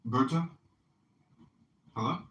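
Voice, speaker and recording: A man's voice: mid-range voice, a bit bright, a little hiss or hum. Sarcastic lecturing man, roomy and distant. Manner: lecturing, sarcastic, drawn-out questioning. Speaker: podcast host. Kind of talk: mocking call-in chat.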